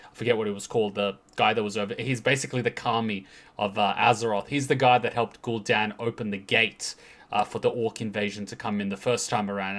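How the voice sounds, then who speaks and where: Lowish-voiced man commenting casually. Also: a bit bright. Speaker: reaction video host.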